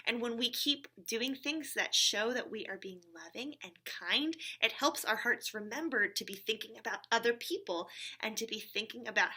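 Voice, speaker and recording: A woman's voice: a little high, pushed and bright. A woman hosting a church story time for children, speaking encouragingly and simply, with frequent check-in questions, as if chatting with a puppet friend. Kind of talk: talking to a puppet companion for young children.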